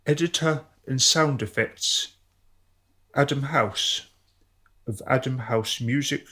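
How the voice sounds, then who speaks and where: A man's voice: medium pitch, slow pace, bright, forceful tone. A man reading out scripted programme credits as an announcer.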